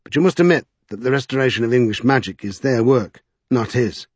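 A man's voice: mid-range voice, expressive, a little fast, a little mellow, husky, no room sound, highs cut off, voice pushed up. A man voicing a frowning, loyal, defensive manservant in an audiobook. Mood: stung, defensive.

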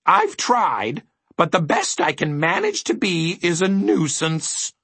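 A man's voice: high voice, wildly swooping pitch, takes it slowly, fairly bright tone, slightly rough, no room sound, pitched above the performer's own voice, full character voice. A man voicing a dirty, sorrowful nuisance of a bird in a children's storybook. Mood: mournful. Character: grimy nuisance demon bird, mournful then shrieking.

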